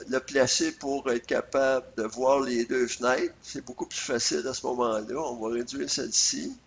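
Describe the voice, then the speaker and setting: Quebec man giving a tutorial, faint noise behind. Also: mid-range voice. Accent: Quebec French. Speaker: software tutorial presenter. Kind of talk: casual screencast tutorial narration. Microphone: USB computer microphone.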